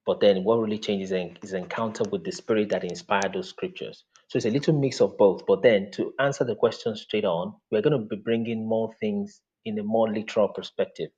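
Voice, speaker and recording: A man's voice: on the low side, a little mellow, breathy edge, dull audio. A man whose first language is Yoruba, speaking earnestly as an interview guest over a video call, with frequent fillers.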